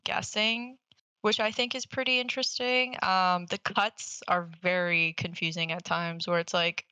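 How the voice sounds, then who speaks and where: Female podcast host, slightly low pitch, close dry sound, loose conversational movie chat. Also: steady tone, unhurried, sharp tone, clean tone, no room sound, poor audio. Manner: informal, rambling, swears casually. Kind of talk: casual chat, movie review.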